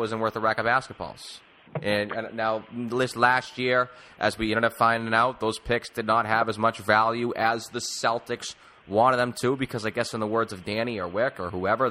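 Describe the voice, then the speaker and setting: Bright, forceful man hosting sports talk. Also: medium pitch. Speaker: sports podcast host. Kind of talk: casual sports talk interview.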